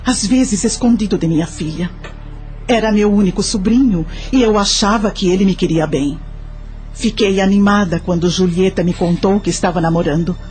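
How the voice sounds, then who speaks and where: A woman's voice: fairly low pitch, dark tone, lightly acted. A Brazilian woman playing an older, sorrowful widowed mother who tells her life story in an audio drama. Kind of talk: audio drama first-person narration. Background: music.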